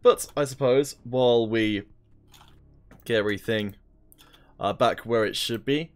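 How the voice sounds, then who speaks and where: Guy casting a mobile game tourney, mid pitch, rambly and apologetic, pokes fun at himself. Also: measured pace. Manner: apologetic, rambling and self-deprecating. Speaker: esports caster. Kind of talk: casual stream commentary.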